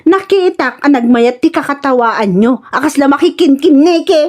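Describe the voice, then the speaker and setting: Lady doing a jealous naggy ilocano wife, super high voice, scolding all over the scale, radio comedy. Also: lively intonation, moderate pace. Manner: scolding, exclamatory. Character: nagging, jealous, hot-tempered wife. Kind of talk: comedic radio drama acting.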